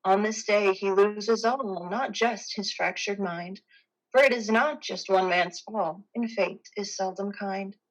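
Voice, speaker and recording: A woman's voice: medium pitch, unhurried, close to an everyday voice, poor audio. A woman playing a muse posing as a TV reporter, delivering rhymed verse in a formal, portentous manner for a stage drama. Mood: solemn, portentous.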